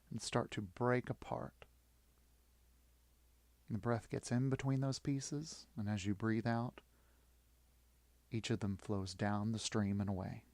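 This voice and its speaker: Male meditation guide, fairly low voice, reassuring delivery in short spaced phrases, recorded very dry. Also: gravelly, darkish tone, no echo. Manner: soothing, encouraging, with long pauses. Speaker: yoga nidra guide.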